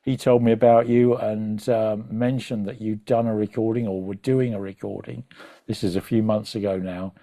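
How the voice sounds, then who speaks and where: Relaxed man hosting an informal interview. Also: on the low side, moderate pace, no room sound. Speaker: interviewer, host. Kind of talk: conversational interview.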